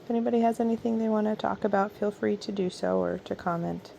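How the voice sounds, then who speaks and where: A hoarse, raspy woman chatting casually and softly at home at night while she crochets, with ums and trailing pauses, recorded on a camera mic. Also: medium pitch, fairly even intonation, slight background noise. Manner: soft, unhurried, trailing off with ums. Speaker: crochet vlogger.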